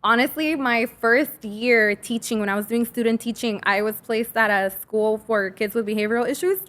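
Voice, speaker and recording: A woman with an American accent and a fairly high voice, who grew up with Spanish as her first language. She talks as a teacher guest on a podcast, casual and candid, with an animated way of telling things. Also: normal speed. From San Fernando area, California. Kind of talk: casual conversational interview.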